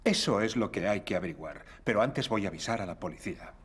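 A man's voice: on the low side, animated, fast talker, breathy edge. An actor playing a composed, refined detective who speaks precisely and corrects his assistant's manners, in a cartoon dub. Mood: intrigued. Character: calm refined male detective.

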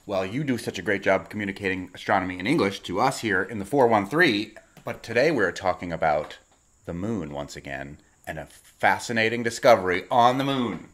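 A man's voice: lowish voice, lively intonation, brisk, a bit bright. A middle-aged man hosting a casual, joking interview with a handheld microphone in a room. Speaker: interviewer and show host. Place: bright room at a table indoors.

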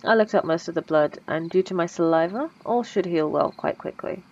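A woman's voice: fairly low pitch, brisk, highs cut off. Woman playing a possessive, old-fashioned vampire lady. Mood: sultry, possessive. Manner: formal, old-fashioned phrasing; soft, intimate delivery. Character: refined, possessive centuries-old female vampire. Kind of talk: ASMR roleplay.

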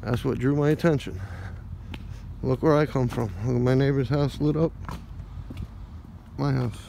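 Gravelly man vlogging on a night street. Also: medium pitch, steady tone, normal speed, warm tone. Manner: rambling, talking to the camera while walking. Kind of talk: casual narration while walking. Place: outdoors on a quiet street at night. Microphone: phone camera held while walking. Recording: noisy outdoor phone recording. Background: idling diesel engine.

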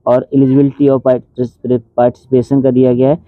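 Male exam-prep host, explanatory and informal, medium-pitched, thin phone-quality audio. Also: completely flat delivery, slow, no echo. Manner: explanatory, addresses viewers directly. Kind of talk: informal news update, reading out an official notice. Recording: dull phone-like sound, dry.

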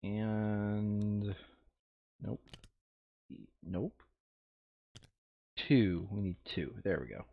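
A man with a fairly low voice gives casual board game commentary, thinking aloud and reading out cards. The sound is dry and close, slightly dull and lo-fi. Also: drawn-out words, pauses often, no room sound. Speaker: player in a tabletop board game playthrough.

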